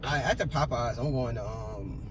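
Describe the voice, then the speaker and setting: A man's voice: medium pitch, normal speed. A young man vlogging pranks from a car, chatting casually in slangy, jokey, sometimes profane speech. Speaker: prank vlogger ordering at drive-thrus. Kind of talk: casual chat. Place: inside a car. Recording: noisy car with traffic ambience.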